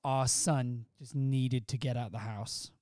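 A man's voice: mid-range voice, fairly even intonation, slow, mellow, no echo, clean studio sound. Welsh-born man telling stories, teasing. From Wales. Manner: joking, teasing storyteller. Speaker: podcast guest, host's husband. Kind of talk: casual chat.

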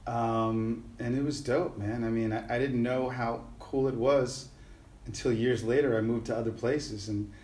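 A middle-aged man chats in a loose, rambling way, full of slang and fillers. His voice sits at an ordinary pitch for a man. The recording has a noticeable room echo. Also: steady tone, moderate pace, a little mellow. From New York City. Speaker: podcast guest, musician. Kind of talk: casual conversational interview.

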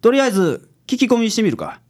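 A woman's voice: fairly high pitch, very animated, fast talker, darkish tone. A woman playing a brusque, dry male private detective in a comic radio drama, with of speech. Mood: pondering. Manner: brusque, dry, casual older-man phrasing. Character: gruff male private detective, brusque, stubborn, sneezing with hay fever.

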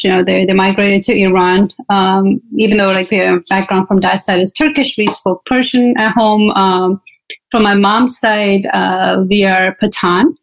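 A woman's voice: mid-range voice, low-quality recording. A woman from Pakistan, a guest on a podcast, recounting her life in a conversational way with frequent fillers. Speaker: interview guest. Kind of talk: personal storytelling in an interview.

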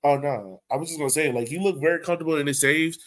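A man's voice: a little high, fast. A man hosting a sports podcast, chatting casually and informally. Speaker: podcast host.

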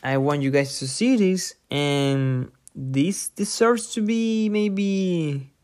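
A podcast host giving an informal lesson from a book, thinking aloud haltingly and repeating difficult words. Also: mid-range voice, darkish tone. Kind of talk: informal lesson read from a book with personal asides.

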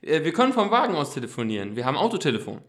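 A man's voice: fairly high pitch, sing-song, very fast, a bit bright. A man playing an eager, self-assured boy detective who takes charge, in an amateur German radio play. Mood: helpful.

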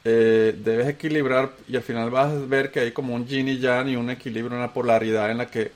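A Colombian-born man with a Venezuelan accent, hosting a casual talk show and telling his story with animated emphasis. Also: medium pitch, fairly quick. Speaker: host. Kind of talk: casual chat.

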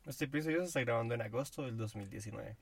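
A man's voice: mid-range voice, slightly rough. Young man casually hosting a podcast. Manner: loose and joking, halting with fillers. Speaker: podcast host. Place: inside a parked car.

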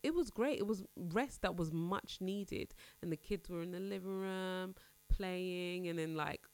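A woman's voice: lowish voice, measured pace, darkish tone. A woman in her thirties hosting a casual podcast, chatting candidly with a congested, nasal voice as she recovers from a cold. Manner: chatty, candid, rambling with restarts. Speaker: podcast host. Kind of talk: casual personal chat.

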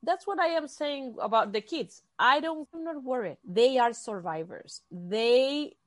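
High-voiced Mexican woman, emphatic. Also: expressive, a little slow. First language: Spanish. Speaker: interview guest. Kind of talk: casual conversational interview.

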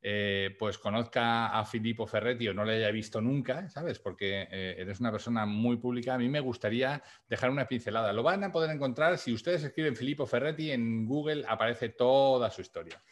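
Energetic, chatty podcast host, a man. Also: medium pitch, fairly quick, few pauses. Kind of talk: casual interview hosting.

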